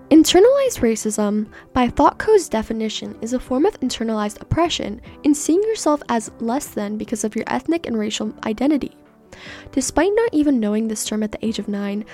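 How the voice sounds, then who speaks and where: A young woman from Canada, a native Persian speaker, reads her personal essay for a podcast. Her voice sits a little high, with a mellow, warm tone, and her reading stays earnest and measured. Faint music plays behind her. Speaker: podcast host.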